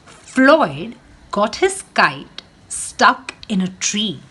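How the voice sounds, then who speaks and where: Slow, lively, husky woman reading aloud. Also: medium pitch. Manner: slow, expressive storytelling with dramatic emphasis; speaks directly to young listeners. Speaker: teacher. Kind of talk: reading a picture book aloud to children.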